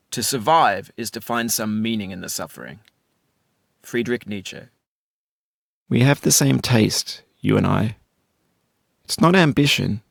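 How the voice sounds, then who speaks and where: A middle-aged man narrating a video game in a calm, wry, philosophical voice-over. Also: lowish voice, gravelly, dry recording, studio quality.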